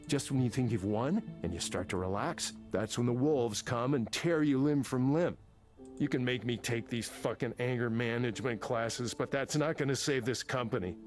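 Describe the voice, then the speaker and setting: An actor playing an angry, embittered older tech manager, combative, sarcastic and sweary, in a visual novel game. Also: male voice, medium pitch, close to an everyday voice. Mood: bitter, agitated.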